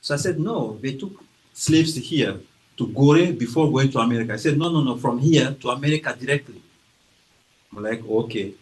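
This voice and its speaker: A man from Guinea with a French accent, speaking slowly and carefully as an author presenting his book to a library audience, with hesitations and acted-out quotes. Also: medium pitch, animated, low-quality recording. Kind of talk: informal talk to an audience. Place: library event room.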